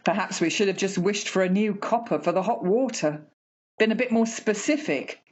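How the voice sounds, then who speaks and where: Woman acting chatty working-class mother, radio play, husky, expressive, dulled sound. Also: medium pitch, moderate pace, dull audio, lightly acted. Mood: homely, wistful. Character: fussy, chatty working-class wife and mother, easily alarmed.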